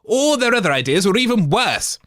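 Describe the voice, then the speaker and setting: A man's voice: sits high, big pitch swings, fairly quick, fairly bright tone, dry recording, clean studio sound. A man co-hosting a comedy radio show, blunt and grumpy.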